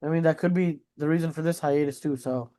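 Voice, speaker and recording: A man's voice: a little high, steady tone, fast talker, warm tone. A man co-hosting a podcast, reacting to news in a casual, slangy way with mild swearing. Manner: slangy, informal, swears mildly. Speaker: podcast co-host.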